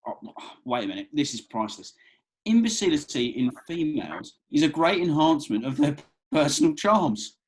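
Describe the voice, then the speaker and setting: Actor as an easygoing British bloke, slightly husky. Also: male voice, on the high side, very animated, normal speed, low-quality recording. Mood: amused. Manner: colloquial, hesitant, placating. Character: easygoing, cheeky, bumbling young bloke at festival. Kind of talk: table read of a comedy drama script.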